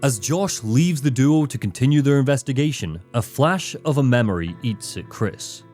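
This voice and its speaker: A man's voice: medium pitch, animated, moderate pace, a little mellow. A man delivering scripted narration for a critical video essay, with dramatic, emphatic phrasing. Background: background music, guitar.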